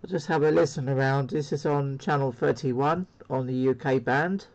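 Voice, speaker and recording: A man's voice: mid-range voice, normal speed. Hesitant man, slightly dull sound. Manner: hesitant, rambling. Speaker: CB radio hobbyist presenting a demo. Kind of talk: casual unscripted product demo. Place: indoor radio room.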